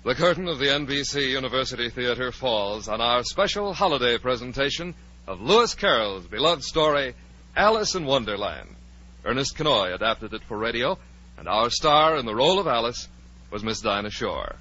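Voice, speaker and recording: A man's voice: medium pitch, expressive, normal speed, pushed and bright. A man announcing and narrating a scripted 1940s radio drama broadcast. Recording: dull 1940s radio recording.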